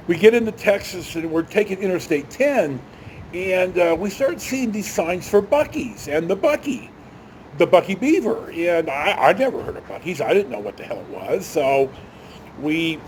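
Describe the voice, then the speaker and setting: An older man telling a casual anecdote in a folksy, hesitant, rambling way with some mild swearing. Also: darkish tone. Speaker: storytelling vlogger. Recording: noisy, with vehicle rumble behind.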